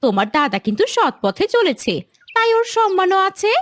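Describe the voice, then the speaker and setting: A woman voicing a principled, plain-spoken wife who admonishes her husband in an animated moral story. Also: extremely high pitch, normal speed, no room sound, clean studio sound. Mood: admonishing.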